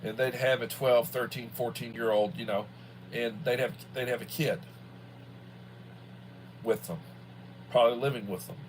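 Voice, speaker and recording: A man in his early sixties chatting casually on a livestream, with a halting, folksy manner and a habit of repeating himself. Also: mid-range voice, fairly even intonation, slight background noise, low-quality recording. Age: early 60s. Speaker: livestream host, school worker. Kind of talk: casual rambling livestream chat.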